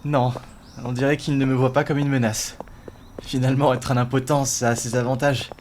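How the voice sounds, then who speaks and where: A man's voice: medium pitch, level tone, moderate pace, darkish tone, a little hiss or hum, natural voice. A man playing a nervous, evasive teenage schoolboy in an amateur webtoon dub, casual and hesitant. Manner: hesitant, casual, brushing things off. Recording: voice under clearly audible music.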